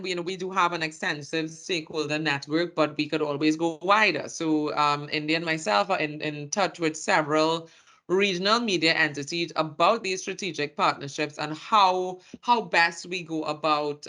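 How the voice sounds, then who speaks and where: Trinidadian accent, workshop presenter, very bright tone, halting phrasing. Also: medium pitch. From Trinidad and Tobago. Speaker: communications presenter.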